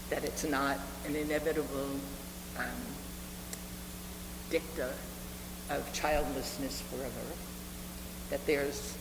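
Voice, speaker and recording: A woman in her seventies delivering a sermon as a reverend, speaking earnestly and deliberately with repeated phrases for emphasis. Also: sits low. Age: 70s. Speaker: reverend preaching to a congregation. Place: reverberant meeting hall with a congregation. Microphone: live speaking microphone. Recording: echoey hall, noisy room with hum.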